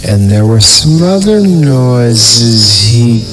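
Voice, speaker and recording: A man's voice: medium pitch, very animated, very slow, low-quality recording. American guy podcast host reading spooky stories, jokey, takes his time, music underneath. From Fresno, California. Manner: irreverent, jokey. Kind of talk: mock-dramatic story reading with casual joking asides. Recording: music bed behind the voice.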